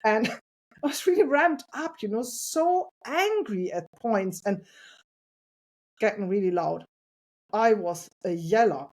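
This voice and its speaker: A woman talking as a podcast guest about parenting, earnest and reflective, with a loud voice and light laughs. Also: mid-range voice, expressive, slow pace, pauses often. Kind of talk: casual interview conversation.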